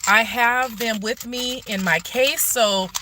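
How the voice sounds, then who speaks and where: A woman's voice: on the high side, takes it slowly, background noise. A woman giving a casual, explanatory impromptu livestream chat, speaking directly to viewers on a phone in a parked car. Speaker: vlogger answering questions on a livestream. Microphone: phone, handheld or propped nearby.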